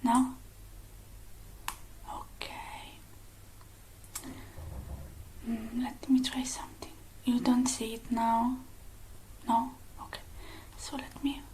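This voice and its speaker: A woman speaking in a breathy, mostly unvoiced whisper, gentle and hushed with many pauses, as in a close-miked ASMR roleplay. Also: a little high, level tone. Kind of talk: whispered ASMR roleplay, one-sided personal attention.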